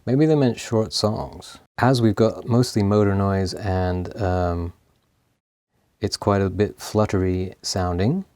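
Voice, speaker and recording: A man casually talking through a tape machine repair, laughing often and pausing with lots of ums. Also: on the low side, darkish tone, dry recording, clean studio sound. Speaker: host of an electronics repair channel. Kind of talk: casual hands-on commentary.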